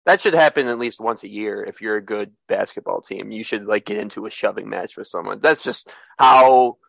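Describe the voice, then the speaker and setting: A young man hosting a sports podcast, talking in a relaxed way with lots of "uh" and "like". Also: on the low side, moderate pace, gravelly, dry recording, poor audio. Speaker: podcast host.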